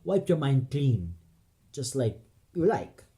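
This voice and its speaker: A man's voice: medium pitch, very animated, takes it slowly, heavy background noise. A man voicing a cocky, foul-mouthed little Puerto Rican drug pusher with brash, slangy talk, in an audio play. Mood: cocky, needling.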